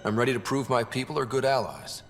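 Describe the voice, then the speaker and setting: An actor playing an earnest, confident young human explorer in a video game, speaking politely and eager to help. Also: male voice, medium pitch, expressive, fast, slight background noise, lightly acted. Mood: determined. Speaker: pathfinder. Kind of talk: video game dramatic acting. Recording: long reverb, faint music behind.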